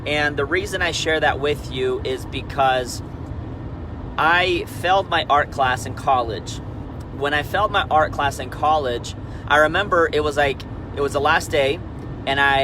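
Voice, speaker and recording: Man, 30s, Peru-born, candid rambling live-stream host, clear traffic noise under the voice. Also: medium pitch, moderate pace. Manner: candid, rambling, frequent restarts and "right?" asides. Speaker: network marketing leader. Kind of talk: Facebook Live motivational talk.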